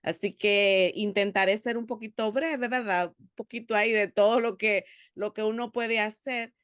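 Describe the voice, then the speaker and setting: A woman tells her story to a panel. She talks earnestly and with animation, pausing often on "eh". Her voice sits at a middle pitch and sounds close and dry. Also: clear, resonant tone, no echo. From Dominican Republic. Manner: earnest and animated, with frequent hesitations. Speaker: panelist, theologian and psychologist. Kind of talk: personal testimony at a panel.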